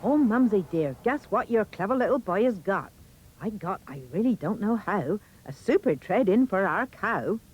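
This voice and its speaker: A woman voicing an excitable, boastful young boy in a comic verse story, eager and exclamatory. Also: mid-range voice, moderate pace, highs cut off, slight background noise, natural voice. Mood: proudly eager.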